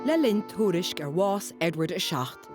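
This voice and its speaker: Irish woman narrating, lively and low. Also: normal speed. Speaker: documentary narrator. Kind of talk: scripted narration. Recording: voice-over with music behind. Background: music.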